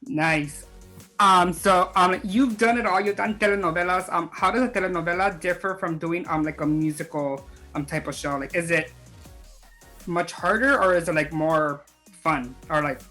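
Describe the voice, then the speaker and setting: A man's voice: high-pitched, normal speed, sharp tone, faint music behind. A man hosting a casual interview, asking questions in an informal, hesitant way with loose, filler-heavy phrasing. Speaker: interviewer, host.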